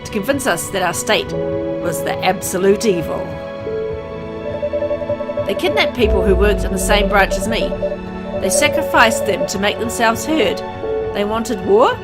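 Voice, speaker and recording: Woman doing a guilt-ridden vengeful dad from a visual novel, dramatic and brooding, loud music behind. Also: medium pitch, expressive, close to an everyday voice. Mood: vengeful, angry. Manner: dramatic, brooding, deliberate phrasing. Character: grieving, vengeful father haunted by guilt. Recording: music under the voice.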